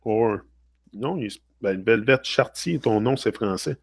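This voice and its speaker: A man's voice: fairly low pitch, animated, measured pace, creaky, dry recording. A man with a Québécois French accent, a native French speaker hosting a casual livestream, chatting with viewers and switching back and forth between English and French. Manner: switches between English and French mid-thought, tags phrases with "là". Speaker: livestream host. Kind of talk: casual live-stream chat reading comments.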